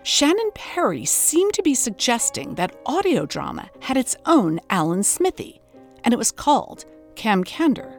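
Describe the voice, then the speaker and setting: A woman's voice: a little high, big pitch swings, a little slow, faint music behind. A woman hosting an investigative podcast, narrating and interviewing in an earnest, dramatically pondering way. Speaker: host and interviewer.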